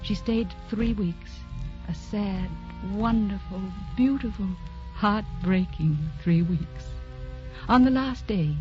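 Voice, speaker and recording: A woman narrating a sentimental radio drama in a measured manner with dramatic pauses. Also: mid-range voice, close to an everyday voice. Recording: muffled old broadcast with music.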